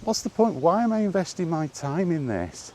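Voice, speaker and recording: A man's voice: a little high, sing-song, normal speed, darkish tone. Exasperated British man over outdoor noise. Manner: exasperated, sweary, repetitive rhetorical questions. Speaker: gaming vlogger. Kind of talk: off-the-cuff rant while out walking. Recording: outdoor recording with clear background noise.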